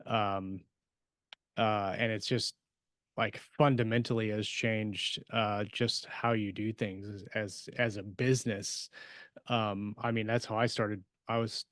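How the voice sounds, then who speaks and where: Smooth, clear man chatting haltingly. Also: fairly low pitch, little pitch movement, a bit bright, dry recording, clean studio sound. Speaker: podcast co-host, author. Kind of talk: casual chat.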